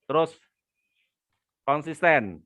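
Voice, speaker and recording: A man's voice: fairly high pitch, lively intonation, takes it slowly, fairly bright tone, no echo. A man teaching online marketing on a live webinar, chatting with viewers and reading their comments aloud. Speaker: business trainer hosting a live webinar. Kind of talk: live-stream teaching with viewer shout-outs.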